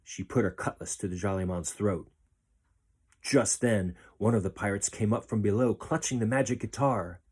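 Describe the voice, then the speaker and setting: A man reading a children's story aloud as a storyteller, expressive and deliberate. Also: lowish voice, husky.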